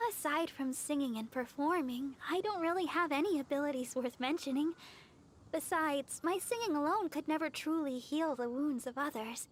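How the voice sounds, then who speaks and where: A woman voicing a gentle, modest young church healer girl in a video game, earnest and soft-spoken. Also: sits high, slight background noise.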